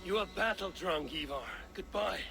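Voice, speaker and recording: An actor playing a stern, battle-weary Viking warrior in a video game, solemn, terse and menacing. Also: male voice, high-pitched, moderate pace, creaky, noisy background. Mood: weary, resolute. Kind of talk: dramatic video game acting.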